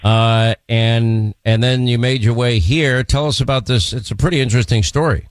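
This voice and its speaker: A man hosting a political talk radio show, speaking in a brisk, opinionated way. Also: mid-range voice, steady tone, dry recording.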